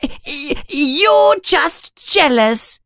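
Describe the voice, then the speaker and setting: Tiny nervous fanboy kid for a storybook, super high thin voice, gushy and eager. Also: male voice, huge pitch swings, takes it slowly, dry recording, studio quality, exaggerated voice. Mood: scared. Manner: eager, gushing, stammering. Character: nervous, excitable small first-year schoolboy fan.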